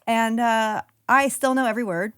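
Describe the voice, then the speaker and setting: A woman's voice: fairly high pitch, normal speed, a bit bright, smooth. An American woman from Seattle, co-hosting a podcast in casual conversation. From Seattle, USA. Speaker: podcast host. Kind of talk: casual chat.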